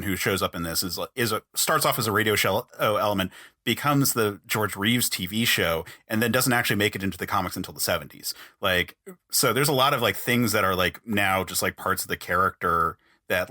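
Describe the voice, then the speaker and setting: A man hosts a casual podcast with a bright, forward voice and a very dry sound. His manner is informal and conversational, with the odd casual swear word. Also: lowish voice, animated, fairly quick, no echo. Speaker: podcast host.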